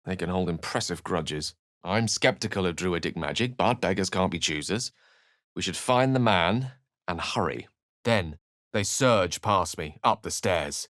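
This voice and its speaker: A scholarly, melancholy wizard with a fairly low voice that rises and falls expressively. He speaks formally and theatrically, with courteous, wry phrasing suited to a fantasy video game. The recording is dry and close, free of room sound. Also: expressive, fairly bright tone, no room sound. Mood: wry, measured. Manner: eloquent, formal, measured and dramatic. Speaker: video game companion character.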